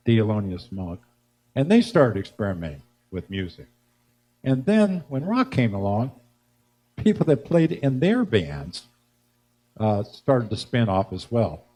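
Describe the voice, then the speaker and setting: An older man hosting a live music show, giving a rambling, halting spoken introduction about music history, pausing to recall details. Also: mid-range voice, mellow, husky. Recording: noticeable background noise and hum.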